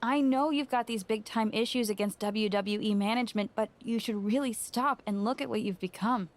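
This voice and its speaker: A woman's voice: fairly high pitch, moderate pace, close to an everyday voice. Woman acting a candid, sarcastic friend. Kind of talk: video game cutscene acting.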